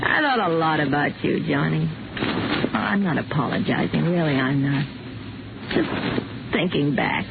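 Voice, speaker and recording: Proud old flame, swooping delivery. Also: female voice, deep voice, lively intonation, unhurried, gravelly, pauses often, heavily acted. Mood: wistful, proud. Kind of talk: 1950 radio drama acting. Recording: noisy, tinny vintage radio recording.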